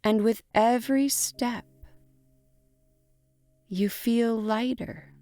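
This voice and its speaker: A woman leads a guided relaxation at an extremely unhurried pace. Her delivery is gentle and deliberate, leaving long pauses between short phrases. Soft instrumental music plays behind her voice. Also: on the high side, fairly even intonation, dry recording. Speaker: meditation guide. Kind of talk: guided imagery meditation narration.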